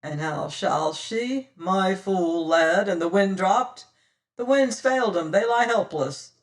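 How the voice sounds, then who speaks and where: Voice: medium pitch, lively intonation, slow pace, recorded from a distance. A reader voicing a bold, cunning male pirate captain who talks hearty old-fashioned sea talk. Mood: confident.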